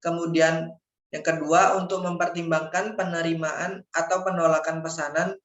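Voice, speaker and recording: A man's voice: on the high side, flat delivery, moderate pace, a bit bright. A young man, a university student formally reading out a scripted slide presentation, sometimes stumbling over words. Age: young adult. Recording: echoey room, dull lo-fi microphone.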